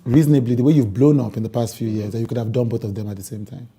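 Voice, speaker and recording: Brief: male host, warm dark tone, slightly husky, quick to affirm. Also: medium pitch, steady tone. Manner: frequent short affirmations, curious questions. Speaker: podcast host. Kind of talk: interview conversation.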